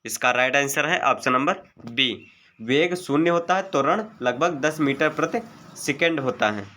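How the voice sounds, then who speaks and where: Bright-voiced man reading quiz questions. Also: mid-range voice, lively intonation, moderate pace, a little hiss or hum. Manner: methodical, formulaic delivery. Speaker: exam-prep physics teacher. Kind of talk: reading out multiple-choice quiz questions and answers.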